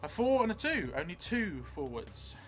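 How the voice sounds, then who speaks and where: A middle-aged man improvising narration for a solo role-playing game, thinking aloud in halting, broken phrases. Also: sits high, very animated. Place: small indoor studio room at a table, music behind. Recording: music playing behind the voice.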